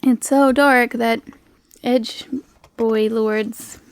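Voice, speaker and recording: A woman's voice: fairly high pitch, steady tone, very slow, dry recording. A woman running a tabletop game as game master, casually narrating and teasing her players.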